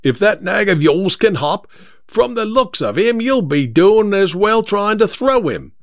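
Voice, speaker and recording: A man puts on a cheeky Victorian hansom driver, pitched well up and swinging about. He banters mockingly in storybook style, close and dry. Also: big pitch swings, unhurried, dry recording, exaggerated voice.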